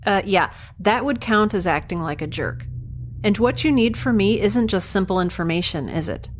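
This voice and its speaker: A woman's voice: mid-range voice, expressive, slight background noise, close to an everyday voice. A woman playing a sardonic, defiant researcher. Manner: sardonic, quick-witted. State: nauseated, concussed. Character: defiant young woman researcher, sharp-witted. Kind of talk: audio drama acting.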